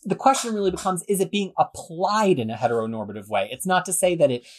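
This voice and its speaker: A man's voice: high voice, animated, fast. A man hosting a podcast discussion, steering the talk and handing over to the panel. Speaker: podcast host.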